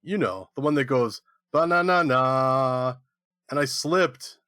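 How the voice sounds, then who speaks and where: Playful guy in a comedy podcast skit, medium pitch, dry close recording. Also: sing-song, normal speed, a bit bright, no echo. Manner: playful, punning. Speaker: podcast audio editor playing himself. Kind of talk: comedic audio drama skit.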